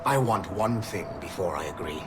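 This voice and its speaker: A man's voice: deep voice, expressive, normal speed, over music. A man playing a terse, intense mercenary father in a video game, protective and defiant. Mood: guarded, grim. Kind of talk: dramatic video game cutscene acting.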